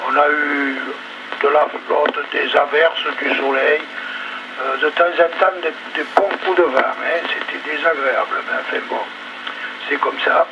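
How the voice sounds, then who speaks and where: A man chatting casually as an amateur radio operator, giving a check-in over a two-way radio. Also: on the high side. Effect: two-way radio transmission. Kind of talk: casual ham radio check-in with weather report. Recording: muffled, very noisy VHF radio relay.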